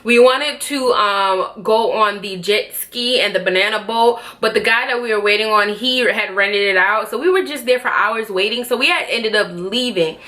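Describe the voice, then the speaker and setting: Bright-voiced Jamaican woman vlogger. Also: mid-range voice, moderate pace, few pauses. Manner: chatty, rambling, self-correcting. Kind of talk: casual travel vlog chat.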